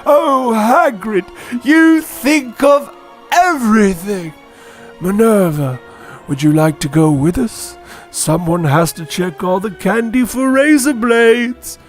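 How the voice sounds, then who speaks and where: A man's voice: high-pitched, animated, slow, soft background music. A man voicing a forgetful, pompous old wizard headmaster in a comic audio story. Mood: delighted.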